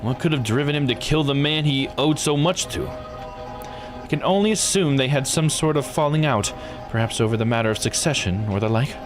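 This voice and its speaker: A man's voice: medium pitch, normal speed, over music, voice pushed up, close to an everyday voice. A man voicing a composed, formal investigator in a visual novel, speaking politely and precisely.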